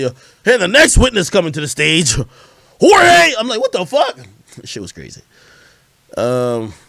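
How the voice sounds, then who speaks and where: A man chatting casually on a livestream as host, telling stories in an animated, slangy way and swearing freely. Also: sits high, dry recording. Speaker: livestream host commenting on hip hop. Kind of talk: casual livestream chat, storytelling.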